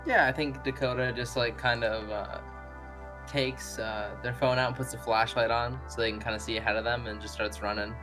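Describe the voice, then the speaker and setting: A tabletop player with a middling pitch describes the action in a conversational, halting way. The narration is informal and improvised, with music playing underneath. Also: steady tone. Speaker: tabletop role-play player.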